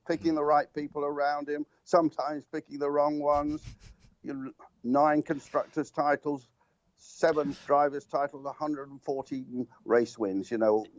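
A man's voice: a little high, darkish tone, dry recording, dull audio. An older man, a guest motorsport commentator, reminiscing at length in a rambling, anecdotal way with many restarts and ums. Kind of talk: reminiscing radio talk.

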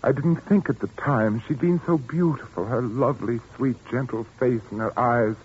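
A man's voice: mid-range voice, moderate pace, natural voice. A man playing an anguished, brooding and stern widowed father in a 1940s radio suspense drama. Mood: anguished, sorrowful. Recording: muffled, noisy 1940s radio recording.

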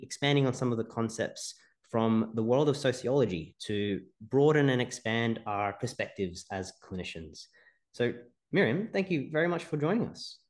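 A man's voice: medium pitch, a little mellow. A man hosting an interview podcast, upbeat and encouraging toward his guest. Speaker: podcast host.

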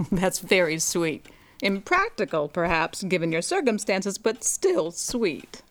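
An actress playing a kindly, practical neighbor in a radio drama, soothing and gently reasoning with a worried friend. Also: female voice, fairly low pitch, sing-song, slow pace. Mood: gently amused, practical. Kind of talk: stage-style radio drama acting.

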